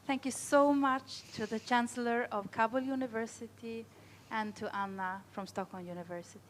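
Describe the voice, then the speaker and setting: A woman hosting an online seminar, introducing guests in a clear, scripted way. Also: fairly high pitch, fairly even intonation, normal speed, a little hiss or hum. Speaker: seminar host. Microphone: video call.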